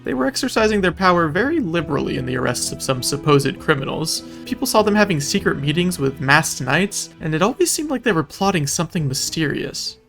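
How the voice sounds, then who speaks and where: A man's voice: fairly high pitch, sing-song, a little fast, vocal fry, few pauses, fairly bright tone, faint music behind. A man reading scripted recap narration in a storytelling style, with dramatic delivery and wry asides. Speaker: video narrator recapping a roleplay game event.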